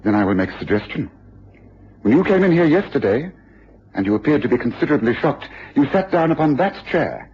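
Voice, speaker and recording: An actor playing a shrewd, commanding detective in a radio drama, with a husky English voice and a measured, probing delivery. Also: male voice, medium pitch, big pitch swings, a little hiss or hum, close to an everyday voice. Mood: probing, stern. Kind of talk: mid-century British radio drama acting. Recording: dull old radio broadcast.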